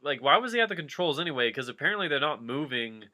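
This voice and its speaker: A man's voice: fairly high pitch, sing-song, fast talker, bright, forceful tone. A man giving a comedic reading of fan fiction, breaking off into casual, jokey asides.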